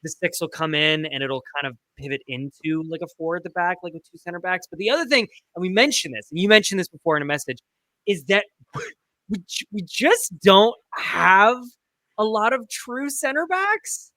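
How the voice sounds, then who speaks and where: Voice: medium pitch, animated, normal speed, sharp tone, dry recording. A podcast panelist in a casual sports discussion, explaining things in long, looping, emphatic runs.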